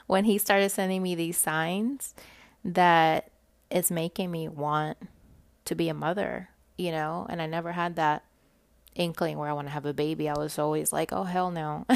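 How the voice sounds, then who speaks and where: Low gravelly woman podcasting. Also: dry recording. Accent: Puerto Rican. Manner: candid, chatty, laughs often. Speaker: podcast host. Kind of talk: casual personal podcast monologue.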